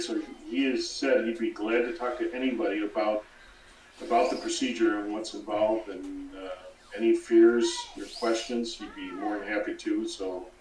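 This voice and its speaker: A man's voice: on the low side, flat delivery, moderate pace, slight background noise. Mature man in a very echoey room. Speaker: guest explaining a donor program. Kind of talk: casual explanatory chat on a live stream. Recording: roomy, distant mic.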